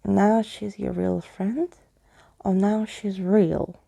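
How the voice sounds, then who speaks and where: A woman's voice: mid-range voice, steady tone, takes it slowly, creaky, mellow, heavily acted. A woman voicing a courtly, eccentric male skeleton from a storybook, with a pompous, old-fashioned manner. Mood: keenly inquisitive.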